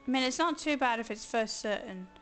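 A hoarse woman chatting casually as she streams a video game, thinking aloud and grumbling playfully. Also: a little high, animated, fairly quick, fairly bright tone, faint music behind. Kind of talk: casual let's-play commentary.

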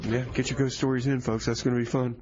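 A man's voice: mid-range voice, flat delivery, fast talker, lo-fi sound, crowd noise, lightly acted. A man playing a flustered, put-upon community radio DJ in a comedy show, stammering and folksy. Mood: announcing. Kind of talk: comedy radio theater, DJ announcing.

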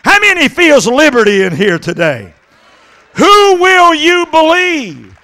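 A middle-aged man preaching an impassioned Pentecostal sermon into a handheld microphone, exhorting and swinging between conversational asides and shouts. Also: extremely high pitch, wildly swooping pitch, slow. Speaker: evangelist preaching. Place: church sanctuary stage at a pulpit.

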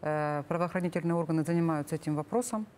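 A woman's voice: deep voice, quick pace, darkish tone, slight background noise. A middle-aged woman explaining things as an expert guest in a studio interview, searching for words. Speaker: government official, expert guest. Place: TV studio, seated at a table. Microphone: lapel.

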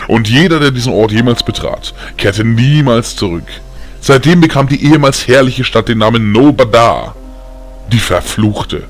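A man voicing a caring, weary old grandfather in an audiobook, gentle and measured in his telling. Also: medium pitch, lively intonation, close to an everyday voice. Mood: solemn storytelling. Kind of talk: audiobook character acting.

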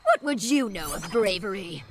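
An actress playing a proud royal warrior in a fighting game, imperious, formal and cutting. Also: female voice, mid-range voice, very animated, moderate pace, noisy background. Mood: imperious defiance. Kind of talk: dramatic fighting-game acting.

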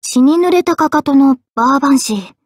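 A woman playing an earnest, polite, quietly self-deprecating young female mage in expressive anime game voice lines. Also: high voice, brisk, no echo, studio quality. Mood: grumbling, cheeky. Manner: polite, expressive, shifting between excited and subdued.

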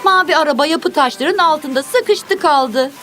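A woman's voice: sits high, unhurried. A woman narrating a children's cartoon, speaking expressively in simple, instructive phrases as if to young viewers and characters. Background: music.

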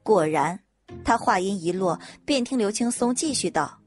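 A woman narrating a web audio novel in an even storytelling manner. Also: medium pitch, moderate pace, faint music behind.

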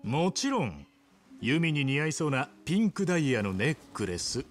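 An actor playing a brash, ambitious young yakuza in a video game cutscene, with rough, blunt, goading speech. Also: male voice, on the high side, sing-song, normal speed, faint music behind. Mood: proud, casual.

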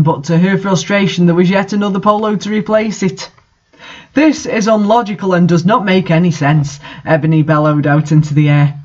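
A man with a notably high voice reads amateur fiction aloud. His delivery is theatrical and wry, and the recording is a bit dull and lo-fi. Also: a little mellow. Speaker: host reading fan fiction aloud. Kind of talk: dramatic reading with casual asides.

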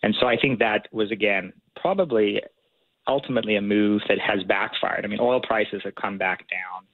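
A man giving measured, policy-focused analysis as a guest in a radio interview, heard over a phone line. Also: lowish voice, dry recording.

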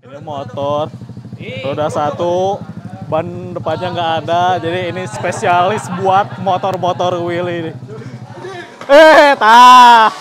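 Excitable young man vlogging at a racetrack. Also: sits high, slow. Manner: excitable, slangy, lots of exclamations. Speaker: motovlogger host. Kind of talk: casual vlog chat. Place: outdoor race circuit paddock. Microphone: handheld mic, camera close. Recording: noisy outdoor circuit with engines.

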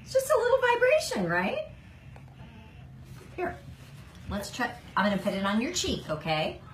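Animated middle-aged woman coaching in echoey room. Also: fairly high pitch, normal speed. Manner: gentle, encouraging, animated. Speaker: speech therapist demonstrating a device to a child. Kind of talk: encouraging hands-on instruction to a young child. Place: small indoor clinic room. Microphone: handheld phone camera at short distance. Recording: roomy, noisy phone video recording.

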